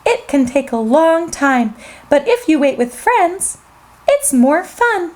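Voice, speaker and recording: A woman reading a children's story aloud in an animated, sing-song way as the host. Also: sits high, slow.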